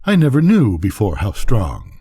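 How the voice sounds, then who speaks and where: A proud, tense ranch wife from a storybook, read by an older man. She is insistent and wistful, in a soft-edged husky voice that swings widely in pitch. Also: huge pitch swings, moderate pace, heavily acted. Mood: boastful.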